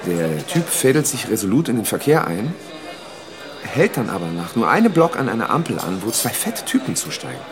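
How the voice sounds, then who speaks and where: A man's voice: mid-range voice, very animated, a little fast, creaky, music in the background. An actor playing a young man who bluntly retells a brutal story about his girlfriend, in coarse words. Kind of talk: radio drama monologue.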